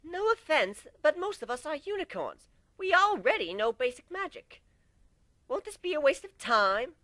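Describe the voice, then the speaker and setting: A man acting out a young unicorn mare in a fantasy story, polite but doubtful. The voice is raised high and hesitant, lilting in protest, with a dry sound. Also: big pitch swings, normal speed, bright, forceful tone, no echo, exaggerated voice.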